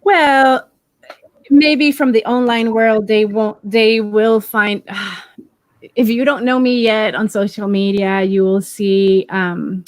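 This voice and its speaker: A woman in her forties with a Puerto Rican accent, talking warmly and encouragingly as an interview guest, often restarting her sentences. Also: mid-range voice, fairly even intonation, slow, no room sound. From Puerto Rico. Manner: warm, encouraging, with many restarts. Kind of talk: casual interview.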